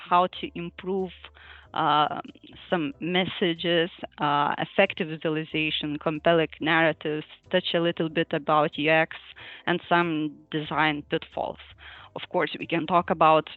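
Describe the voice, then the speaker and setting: A woman's voice: on the low side, no echo. Woman presenting over a thin call line. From Lithuania. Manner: hesitant, frequent fillers and small laughs. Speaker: user group speaker. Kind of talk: online conference presentation. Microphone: video call. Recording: thin phone-like dry audio, faint music.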